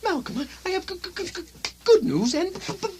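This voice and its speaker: A woman playing a panicky, excitable nobleman with a heavy stutter in a comic radio play. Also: very high voice. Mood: nervous dread. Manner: hysterical, overwrought, halting. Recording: faint noise on old radio recording.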